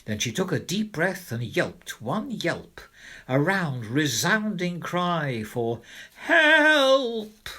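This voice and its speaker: Guy reading a rhyming kids story, super animated, takes his time, kinda theatrical. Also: on the high side, takes it slowly. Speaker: narrator, reader of children's poems. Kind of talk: scripted rhyming storybook narration.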